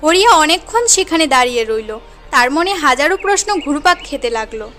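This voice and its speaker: A woman's voice: sits high, sing-song, fairly quick, sharp tone, faint music behind. A woman giving scripted narration for a cartoon story as its narrator.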